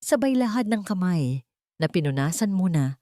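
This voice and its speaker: Female Filipino story narrator, dramatic scripted read, slightly low register, close dry sound. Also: very animated, normal speed, dark tone, no room sound.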